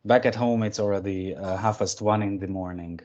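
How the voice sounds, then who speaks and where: A man from Hungary with a Hungarian accent, giving an informal tech presentation in a halting way with repeated words. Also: lowish voice, steady tone, a little mellow. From Budapest, Hungary. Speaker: guest presenter of a lightning talk. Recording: dull, band-limited online call audio.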